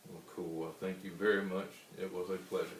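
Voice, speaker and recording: A man with a baritone voice, a singer-songwriter answering interview questions in an unhurried, rambling way. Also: little pitch movement. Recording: roomy, distant mic with faint hiss.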